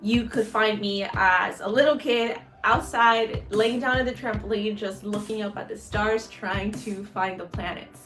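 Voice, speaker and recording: A woman's voice: medium pitch, unhurried, few pauses, fairly bright tone. A woman electrical engineer introducing herself and earnestly telling how she grew up stargazing. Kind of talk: self-introduction and personal story. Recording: echoey room with faint music.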